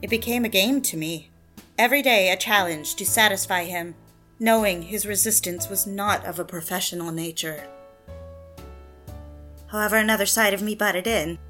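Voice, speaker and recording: A woman's voice: medium pitch, moderate pace, pushed and bright, faint music behind, close to an everyday voice. A woman playing a hurt, defiant young personal secretary in an audio drama, earnest and emotional, also narrating her own story. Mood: reflective.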